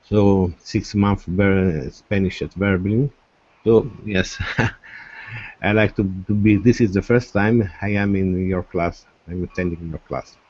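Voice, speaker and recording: Low voiced guy, slow hesitant english, muffled. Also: fairly even intonation, darkish tone. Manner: hesitant learner English, small laughs. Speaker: student. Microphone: video call.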